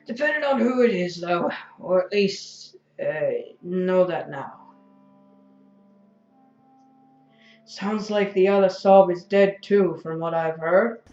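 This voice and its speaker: A woman's voice: lowish voice, slow pace, darkish tone. A woman playing a dying prisoner, weak and coughing, wry and weary, in an audio drama. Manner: sardonic, weary. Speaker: voice actor. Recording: echoey, dull, faint music behind.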